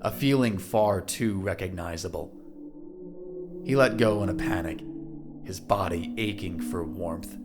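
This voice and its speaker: A man's voice: deep voice, measured pace, music in the background. A man narrating a scripted audio drama in a dramatic storytelling style.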